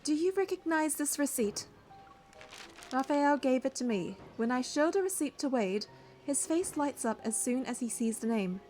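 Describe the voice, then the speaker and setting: An actress playing a caring, curious young heroine who narrates and speaks in a romance game, measured and earnest. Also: female voice, sits high, animated, darkish tone, soft background music. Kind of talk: first-person narration and dialogue in a romance game.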